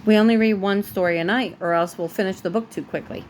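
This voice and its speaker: A woman reading a children's bedtime story aloud like a parent, with expressive storytelling. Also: mid-range voice, fast, a little hiss or hum, rough recording.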